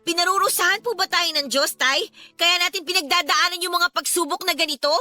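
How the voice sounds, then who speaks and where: Very high-voiced, frustrated teenage daughter. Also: female voice, brisk, bright, forceful tone, dry recording, studio quality, soft background music, full character voice. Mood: frustrated, weary. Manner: pleading, questioning, respectful to her father. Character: distressed teenage daughter of a poor flooded family. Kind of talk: Tagalog radio drama acting.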